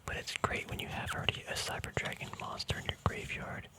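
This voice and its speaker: A man speaking in a breathy whisper in a casual, relaxed ASMR style, rambling through explanations and often correcting himself. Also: fairly quick. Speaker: host of a hobby card-game ASMR video.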